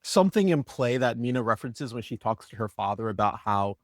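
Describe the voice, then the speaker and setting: A man's voice: medium pitch, animated, fairly quick, no room sound, clean studio sound. A man giving analytical commentary as a panelist on a podcast about a drama. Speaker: podcast panelist from the show's team. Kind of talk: analytical discussion of a drama episode.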